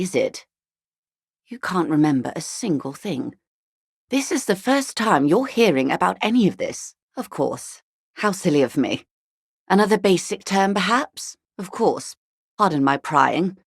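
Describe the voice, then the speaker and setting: A woman playing a worldly, middle-aged upper-class corporate negotiator in a video game, speaking in a measured, polite, articulate and formal way. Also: on the low side, creaky, no echo, studio quality, heavily acted. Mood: polite, probing. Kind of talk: video game character dialogue.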